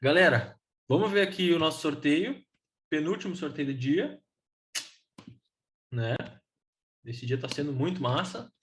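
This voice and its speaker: A man's voice: fairly high pitch, lively intonation, a little slow, long pauses, slight background noise. A man with a Brazilian Portuguese accent, casually presenting a live event in an informal, enthusiastic way. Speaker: event host.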